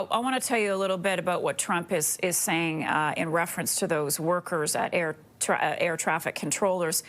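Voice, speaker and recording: A woman news anchor with a voice on the lower side. She speaks in the manner of a live broadcast interview, putting questions to a guest. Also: fairly bright tone.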